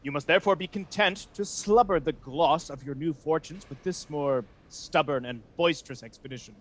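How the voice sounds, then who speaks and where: A man playing a brisk, authoritative duke in a Shakespearean radio play, formal and commanding. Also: fairly high pitch, no echo, close to an everyday voice. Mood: courteous.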